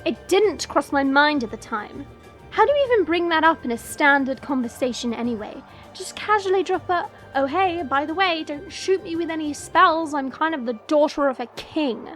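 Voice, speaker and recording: A woman's voice: sits high, expressive, moderate pace, a bit bright, soft background music. A woman playing a haughty yet playful young dragon princess in a fantasy audio roleplay, breezy and teasing. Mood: nonchalant. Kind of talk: fan audio roleplay acting.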